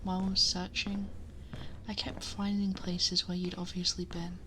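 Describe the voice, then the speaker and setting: A woman playing a possessive, protective dragon girl in an intimate ASMR roleplay, speaking softly and breathily, often whispering, close to the microphone in a measured murmur. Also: fairly low pitch, monotone, noisy background, lightly acted. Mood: possessive, firm. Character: possessive female dragon girl, protective, commanding yet tender. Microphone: close binaural ASMR microphone.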